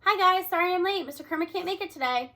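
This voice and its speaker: A woman's voice: very high-pitched, quick pace, pushed and bright, pitched above the performer's own voice, full character voice. A woman voicing a breezy, apologetic man filling in as a substitute teacher, upbeat and slightly flustered.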